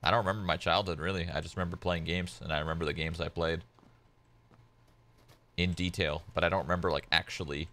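A man's voice: deep voice, fairly even intonation, quick pace, a bit bright. A young man whose first language is Polish, chatting casually as a game streamer at home, laid-back and rambling with lots of "like" fillers. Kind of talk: casual chat while gaming.

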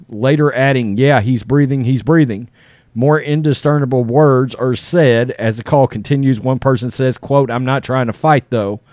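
A man's voice: medium pitch, darkish tone, no room sound, clean studio sound. A middle-aged American man hosting an online show, reading a news article aloud and adding casual off-the-cuff commentary.

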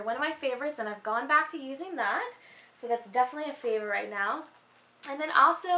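Casting: American female vlogger, 30s, high-pitched and slightly hoarse, gushy casual chat, recorded in an echoey room at home. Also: sharp tone, a little hiss or hum. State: slightly hoarse, losing voice from a cold. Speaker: beauty and handbag vlogger. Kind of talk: casual, chatty vlog talk to camera. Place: small furnished room at home. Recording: roomy indoor echo.

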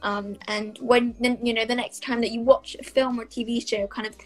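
A woman's voice: fairly high pitch, little pitch movement, moderate pace, faint music behind, rough recording. A young woman from South Wales with a Welsh accent, answering interview questions casually over a video call, thoughtful and full of fillers. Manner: thoughtful, many fillers and hedges. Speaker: actress guest. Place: small bright room indoors. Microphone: laptop webcam on a video call.

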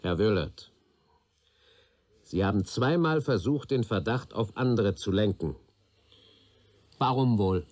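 An actor playing a persistent police interrogator in a radio crime drama, probing, methodical and authoritative. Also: male voice, fairly low pitch, expressive, slow, pauses often, a little mellow. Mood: pressing.

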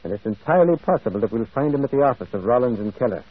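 An actor playing a gentle, shrewd priest detective in a radio drama, musing and deliberate, quietly insistent. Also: male voice, mid-range voice, expressive, slightly rough. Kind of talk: old radio drama acting. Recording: muffled, tinny vintage radio broadcast.

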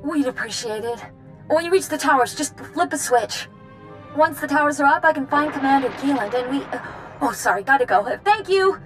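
A woman voicing a harried, earnest young prison assistant in a video game, flustered and halting. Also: high voice, expressive, a bit bright, soft background music, low-quality recording. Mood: relieved, hurried.

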